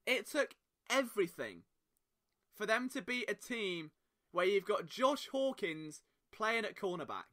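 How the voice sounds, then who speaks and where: Male podcast host, high and very bright voice, impassioned solo monologue. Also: sing-song, unhurried. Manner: impassioned, emphatic rhetorical questions.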